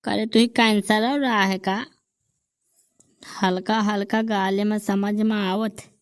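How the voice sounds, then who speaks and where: A self-important village quack doctor, voiced by a woman, speaking slowly in a Bundelkhandi accent. The voice is smooth and clear and sits fairly high. It is recorded close and dry. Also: steady tone, no room sound. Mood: diagnosing. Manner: self-important, drawn-out boasting.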